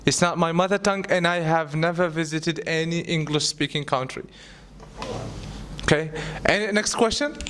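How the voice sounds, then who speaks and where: A man in his twenties, an English teacher answering students' questions in class, lively and repeating words as he thinks. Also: a little high, normal speed, a little hiss or hum.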